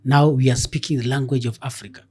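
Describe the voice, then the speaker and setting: A man's voice: medium pitch, steady tone, quick pace, warm tone, slightly rough. A man hosting a casual podcast interview, asking leading questions and encouraging his guest with frequent "mm-hmm" and "yeah". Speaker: podcast host.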